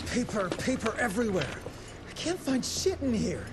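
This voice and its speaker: Flustered scatterbrained genius, high voice, game cutscene, noisy room sounds. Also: female voice, sing-song, moderate pace. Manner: flustered, exclaiming. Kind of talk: video game cutscene acting. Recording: clear background noise and ambience.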